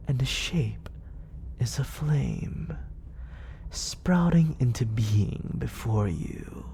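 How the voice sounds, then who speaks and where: A man voicing a scheming villain mentor in an ASMR roleplay, speaking in a slow, coaxing, hushed whisper close to a studio mic. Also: mid-range voice, creaky. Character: soft-spoken male villain mentor, scheming. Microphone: close studio condenser.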